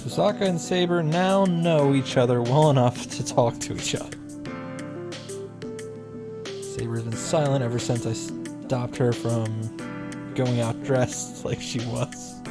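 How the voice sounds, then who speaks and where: A man reading visual novel narration aloud and reacting casually with blunt asides. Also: mid-range voice. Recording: clear music behind the voice.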